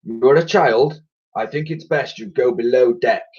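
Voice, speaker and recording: A man's voice: mid-range voice, measured pace, a little mellow, breathy edge. A man voicing a brave, hearty old male admiral in a storybook reading. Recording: lo-fi recording.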